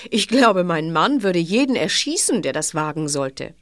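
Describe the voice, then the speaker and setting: A cheerful, trusting country innkeeper in a radio play. Her intonation swings in a lively way, and the sound is dry. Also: on the low side, moderate pace, no room sound. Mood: unworried.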